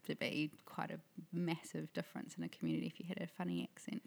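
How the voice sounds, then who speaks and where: Enthusiastic woman interviewer, lowish voice. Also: big pitch swings, quick pace, vocal fry. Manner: warm encouraging interviewer, frequent affirmations. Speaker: podcast host. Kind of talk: casual interview.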